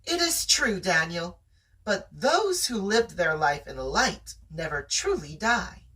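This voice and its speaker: A reader voicing a gentle, earnest mother from a sci-fi novel. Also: medium pitch, big pitch swings, slow, far from the mic, heavily acted. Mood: serene.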